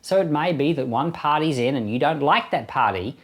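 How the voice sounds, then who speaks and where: A man's voice: fairly high pitch, lively intonation, moderate pace. A man with an Australian accent speaking as a pastor, giving conversational, plain-spoken Bible teaching with everyday examples. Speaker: pastor and Bible podcast host. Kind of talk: conversational Bible teaching with read verses and anecdotes.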